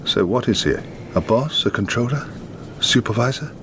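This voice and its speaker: A man's voice: mid-range voice, normal speed, noisy background, close to an everyday voice. An actor playing a wry, self-mocking, worldly man in a film drama who asks his questions in a dry, ironic way. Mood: probing.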